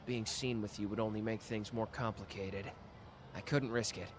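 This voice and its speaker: An actor playing a hot-tempered but protective young man who talks gruffly and swears in an audio roleplay. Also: on the low side, fairly even intonation, noisy background, lightly acted. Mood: tender. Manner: gruff, swearing, quick to snap. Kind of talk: ASMR roleplay acting.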